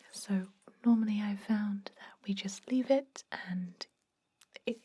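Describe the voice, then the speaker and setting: A woman whispers her way through an ASMR roleplay as a calm, professional dentist. Her voice is breathy and mellow, and she speaks slowly. She explains things in a gentle, reassuring way. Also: medium pitch. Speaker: ASMR performer playing a dentist.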